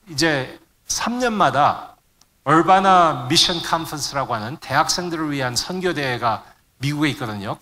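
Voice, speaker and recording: A man's voice: a little high, pushed and bright. A middle-aged man giving a sermon to a congregation, searching for words now and then and mixing in English terms. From Korean immigrant family in the United States. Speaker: preacher. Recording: long hall reverb.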